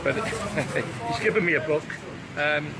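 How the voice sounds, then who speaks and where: A man's voice: fairly high pitch, expressive, slow pace, low-quality recording. Chatty older Welsh-born man on a busy street. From Wales. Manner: chatty, laughs often, many ums and uhs. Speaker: interviewer. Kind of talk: casual chat. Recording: outdoor street recording with crowd noise.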